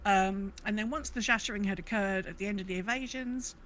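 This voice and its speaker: A woman's voice: mid-range voice, brisk, fairly bright tone, a little hiss or hum. A British woman co-hosting a podcast, chatting casually and informally about a video game. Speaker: podcast co-host.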